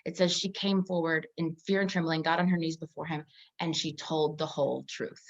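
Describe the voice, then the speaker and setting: Woman chatting thru bible stuff, lowish voice, thoughtful, kinda dull lo-fi audio. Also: fairly even intonation, moderate pace. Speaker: podcast co-host. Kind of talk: conversational Bible discussion.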